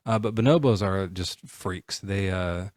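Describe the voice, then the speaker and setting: Man in his 40s, stream host, lowish voice, dry close sound, loose rambling delivery. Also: darkish tone, dry recording. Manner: rambling, halting, many fillers and restarts. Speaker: livestream host. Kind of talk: casual live-stream chat.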